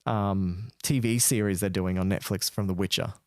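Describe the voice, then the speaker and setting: A man's voice: low-pitched, steady tone, moderate pace, a little mellow, no echo, clean studio sound. An Australian man co-hosting a podcast, chatting about games in a laid-back, slangy way. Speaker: podcast co-host. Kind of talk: casual gaming chat.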